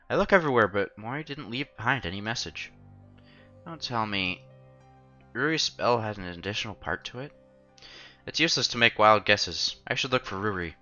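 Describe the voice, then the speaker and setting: Animated man reading a visual novel aloud. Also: on the low side, dull audio. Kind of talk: expressive read-aloud narration of a visual novel.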